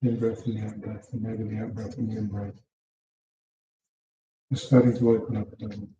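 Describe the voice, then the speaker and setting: A very soft-edged, dark-toned man guides a meditation in a calm, unhurried way, with short instructing phrases. His voice sits at an ordinary male pitch and sounds distant from the microphone. The recording is dull and echoes with the room. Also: a little hiss or hum. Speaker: meditation teacher.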